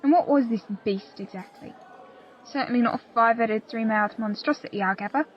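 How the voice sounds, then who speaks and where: A woman's voice: fairly high pitch, brisk, muffled recording, lightly acted. A woman playing a loyal, plain-spoken pirate crew member in an audio play, telling her story earnestly in old seafaring dialect. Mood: urgent, probing. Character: loyal, plain-spoken pirate crewwoman confiding a grave secret.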